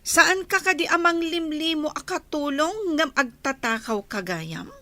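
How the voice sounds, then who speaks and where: An actress voicing a suspicious rich wife who questions the maids, her high voice swooping up and down. She sounds sharp and distrustful. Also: lively intonation, a little slow, no echo, exaggerated voice. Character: suspicious wealthy wife of the mansion owner. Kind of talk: radio drama acting.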